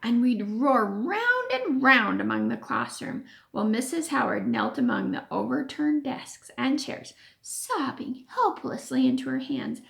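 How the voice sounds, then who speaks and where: A woman reads a children's story aloud in a high, lively voice. Her pace is unhurried and her pitch moves freely through each sentence, with the expressive manner of a teacher. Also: vocal fry.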